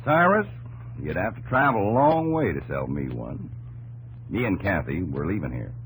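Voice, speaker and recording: A man's voice: low-pitched, very animated, moderate pace, a bit bright, slight background noise, low-quality recording. An American actor playing a plainspoken, stubborn pioneer farmer who tells his story in a folksy drawl, in a 1950s radio drama. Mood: stubborn, dismissive. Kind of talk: 1950s radio drama acting, narrating in character. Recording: old 1950s radio broadcast recording.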